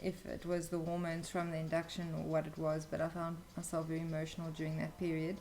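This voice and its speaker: A woman's voice: sits low, completely flat delivery, fast talker, a little mellow, slight background noise. A young woman telling a personal story as an interview guest, casually and candidly, with some hesitation. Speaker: interview guest, new mother.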